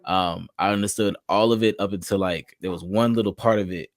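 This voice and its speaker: A man with a low voice hosts a podcast interview. He talks in a relaxed, easygoing way and asks loose, casual questions. The recording is dry and close. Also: brisk, dry recording. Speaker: podcast host.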